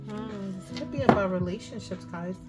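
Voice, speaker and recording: A woman with a hoarse voice giving a casual tarot card reading, thinking aloud as she goes. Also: mid-range voice, normal speed. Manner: chatty, thinking aloud with fillers. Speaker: tarot reader. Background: music.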